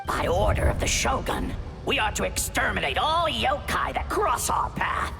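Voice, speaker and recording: An actor voicing a merciless, jeering soldier in a video game, menacing and mocking. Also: male voice, high-pitched, very animated, normal speed, vocal fry, sharp tone, big-room sound, soft background music, exaggerated voice.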